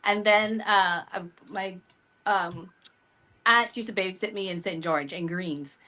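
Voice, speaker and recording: A woman born in Philadelphia and raised in Barbados, with an American accent that carries a Barbadian lilt, telling stories animatedly as an interview guest on a video call. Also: measured pace. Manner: animated, reenacts her own reactions with a high voice. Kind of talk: casual interview storytelling. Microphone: video call mic.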